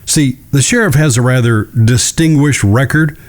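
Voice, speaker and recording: An actor playing a weary, irritable Old West judge with a drawl, dryly condescending, in an audio drama. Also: male voice, medium pitch, moderate pace, lightly acted. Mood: dry, courteous. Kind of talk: Western audio drama acting.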